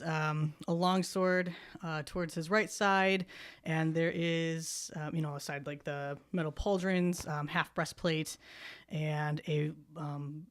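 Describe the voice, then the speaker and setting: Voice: mid-range voice, clean tone, no room sound, studio quality. Hesitant tabletop player with a mid-range voice. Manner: hesitant, pausing to search for words. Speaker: player in a tabletop role-playing game. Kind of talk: casual improvised character description.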